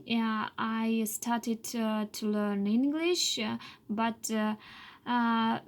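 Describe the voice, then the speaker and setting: Woman, late 20s, slow halting english full of uhs, bit of a high voice. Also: fairly even intonation. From Russia. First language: Chuvash. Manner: halting, many filler pauses and restarts. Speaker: English learner telling her life story. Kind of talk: unscripted personal monologue.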